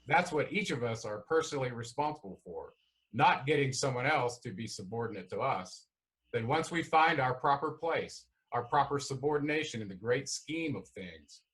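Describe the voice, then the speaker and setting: A man's voice: medium pitch, fairly bright tone, natural voice, poor audio. A man playing a lofty, self-assured alien god who lectures in a formal, philosophical manner in a screenplay read-through.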